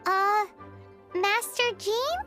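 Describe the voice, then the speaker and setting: A woman voicing a tiny, excitable fairy girl who talks about herself in the third person, for a game. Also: very high voice, very slow, faint music behind, heavily acted. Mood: chirpy. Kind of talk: game voice acting.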